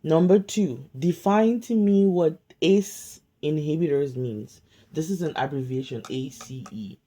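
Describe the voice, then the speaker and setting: Casting: calm woman study tutor, slow deliberate delivery, mellow tone, home phone recording. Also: sits low. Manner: calm, measured, question-and-pause drilling. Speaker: pharmacy tech study tutor. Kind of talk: slow flashcard-style quiz review. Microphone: phone camera filming whiteboard.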